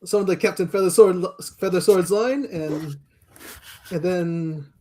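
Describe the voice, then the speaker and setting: A man's voice: high voice, warm tone. Hesitant man answering as interview guest. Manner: halting, hesitant delivery. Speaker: interview guest, amateur voice actor. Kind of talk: casual interview chat.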